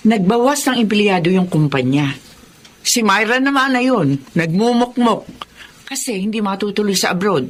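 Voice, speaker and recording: A woman's voice: mid-range voice, expressive, moderate pace, slight background noise, close to an everyday voice. An actress playing a worn, ashamed older Filipino mother in an emotional radio drama, speaking plaintively and plainly. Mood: weary, apologetic.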